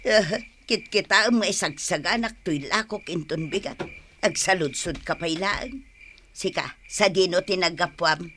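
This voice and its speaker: A woman's voice: on the low side, unhurried, a bit bright, a little hiss or hum. A woman playing a hardworking, aggrieved village wife who sells snacks in a radio drama, emphatic and plaintive, with a northern Philippine accent. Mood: reproachful.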